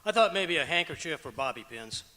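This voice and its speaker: A man's voice: on the high side, animated, fairly quick, pushed and bright. A man playing a fussy, indecisive, earnest neighbor husband in old-time radio comedy style. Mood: flustered, indecisive. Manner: dithering, earnest. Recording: echoey auditorium stage performance.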